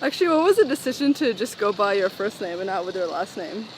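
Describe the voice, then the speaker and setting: A woman's voice: mid-range voice, very animated, quick pace, a little mellow, low-quality recording. A woman hosting a casual street interview, asking short questions and giving brief encouraging replies. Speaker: interview host. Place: outdoors on a sidewalk. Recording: clear street noise behind.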